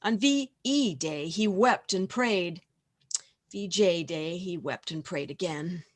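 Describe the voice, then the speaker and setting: A woman playing a knowing heavenly narrator in a radio play, telling the story in a measured way. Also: fairly low pitch. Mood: solemn storytelling. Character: knowing heavenly senior angel narrating to Clarence. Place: home, over video call.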